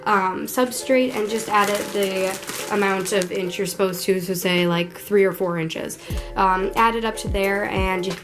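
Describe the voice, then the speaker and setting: A woman's voice: mid-range voice, fairly even intonation, measured pace, poor audio. A young woman with a cold, sounding a little tired and under the weather, chatting casually through a pet care how-to with lots of likes and ums. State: sick, a little hoarse and tired. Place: bedroom at home. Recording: background music throughout. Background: background music.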